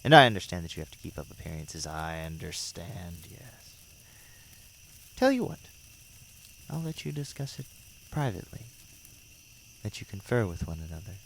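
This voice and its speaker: A man's voice: sits low, sing-song, moderate pace, gravelly, pauses often, slight background noise, full character voice. A man playing a devilish, mocking demon tempter in a fan audio drama, speaking with theatrical mock courtesy and ornate words. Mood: gloating, coaxing.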